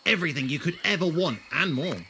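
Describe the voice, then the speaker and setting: An actor playing an eccentric, wordy alien time traveller in an audio drama, grandiose and wry. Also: male voice, a little high, fast, background noise, natural voice. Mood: enthusiastic, grand.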